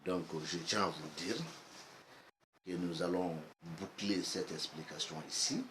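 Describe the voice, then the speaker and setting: Male instructor, West African accent, Bambara native; lowish voice, deliberate, repeats for emphasis, faint noise behind. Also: fairly even intonation. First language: Bambara (Bamanankan). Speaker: geomancy teacher, online video host. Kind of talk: instructional explanation. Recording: echoey room, faint background noise.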